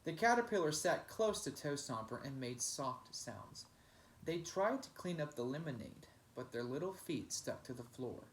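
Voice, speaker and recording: A man's voice: a little high, very animated, moderate pace, slight background noise. A man reading a children's picture book aloud as a teacher, with dramatic, emphatic narration. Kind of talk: expressive storybook narration.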